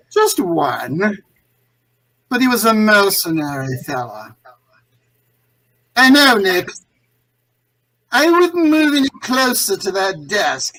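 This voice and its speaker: Suave gang boss villain, 1940s radio drama, slow drawl, swooping pitch, husky, pompous, mock-courteous. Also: male voice, very animated, fairly bright tone, heavily acted, poor audio. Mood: gloating. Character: suave, theatrical, gloating male escaped-convict villain and gang boss.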